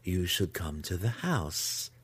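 A man voicing a menacing, imperious female dark elf priestess who speaks slowly and commands. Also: sits low, big pitch swings, husky, exaggerated voice. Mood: cold, commanding. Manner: slow, deliberate, commanding.

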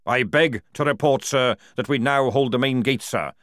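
A man voicing a fierce, loyal old male army sergeant who barks his words in a forceful parade-ground manner. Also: medium pitch, moderate pace, no room sound, studio quality, voice pushed up, close to an everyday voice. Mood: gruff, insistent.

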